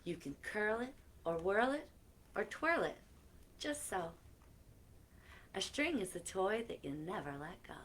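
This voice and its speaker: Slow-paced woman reading at children's story time. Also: mid-range voice. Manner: expressive, chatty asides between readings. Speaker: library story time host. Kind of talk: read-aloud picture book storytime for children. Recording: clearly noisy live-stream recording.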